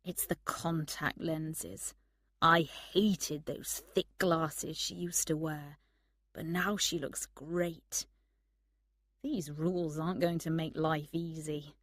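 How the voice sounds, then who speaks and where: A woman's voice: fairly low pitch, slow, no echo, clean studio sound. A woman voicing a sly, charming young man in an audiobook story. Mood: admiring. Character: sly, charming young man, privately smitten with his friend.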